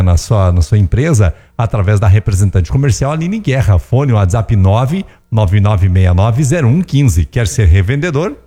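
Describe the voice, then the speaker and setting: A man's voice: on the low side, warm tone. A middle-aged man, hosting a casual radio show with a slightly strained voice, always checking in with his listeners. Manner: chatty, keeps tagging questions to listeners. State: voice strained, close to giving out. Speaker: radio host. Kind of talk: casual radio chat with ads and song requests.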